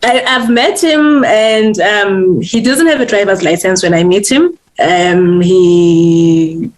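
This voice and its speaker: Guest author, woman, 30s, candid, halting, many ums. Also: mid-range voice. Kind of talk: personal storytelling in an interview.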